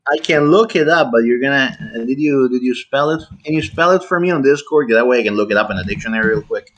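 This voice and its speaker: A man's voice: medium pitch, fairly bright tone, dull audio, slight background noise. A man from Italy, not a native speaker, debating on a voice call from his family home. He interrupts often and probes each point with care. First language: Italian. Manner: interrupting, probing, deliberate. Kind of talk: casual online debate.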